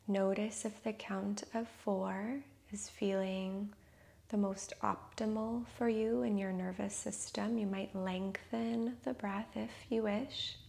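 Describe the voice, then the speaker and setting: Slow, gravelly-soft woman guiding breathwork. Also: medium pitch, fairly even intonation. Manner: slow, soft, soothing counting, drifting into whispers. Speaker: breathwork guide. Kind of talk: guided breathing meditation.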